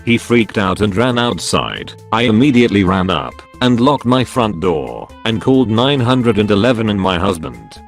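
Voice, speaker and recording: Male narrator, low-pitched, even read-aloud delivery, faint music bed underneath. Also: normal speed, few pauses. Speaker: narrator reading online posts. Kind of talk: scripted narration. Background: soft background music.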